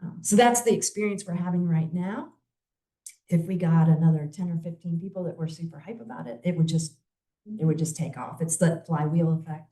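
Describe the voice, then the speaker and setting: Low-voiced woman in an echoey room. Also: a little fast, dark tone. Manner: conversational, with filler ums. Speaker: community volunteer speaker. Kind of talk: informal community talk with Q&A.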